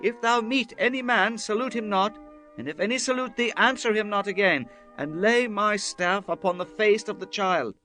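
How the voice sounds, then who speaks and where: An actor playing a commanding biblical prophet in a dramatized scripture audiobook, solemn and declamatory. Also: male voice, high voice, normal speed, bright, forceful tone, faint music behind.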